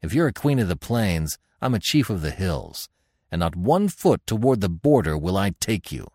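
A man playing a fierce, proud barbarian warrior chief in an audiobook adventure, gruff, growling and sardonic. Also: sits low, sing-song, normal speed, a little mellow, dry recording, studio quality. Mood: growling, defiant.

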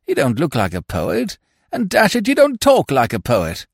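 A man's voice: a little high, huge pitch swings, normal speed, gravelly, slightly rough, dry recording, studio quality, voice pushed up, exaggerated voice. Guy doing a fussy old lord, stammery and indignant, voice jumps way up, storybook. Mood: bewildered, indignant. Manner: stammering, blurting, exclamatory. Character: flustered, fussy old English lord, absent-minded and indignant.

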